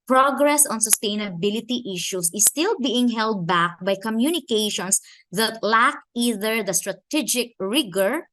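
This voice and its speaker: A woman from the Philippines with a Filipino accent, giving an academic conference talk in a measured way and slowing down to stress key phrases and questions. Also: mid-range voice, fairly bright tone. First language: Filipino language. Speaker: conference speaker.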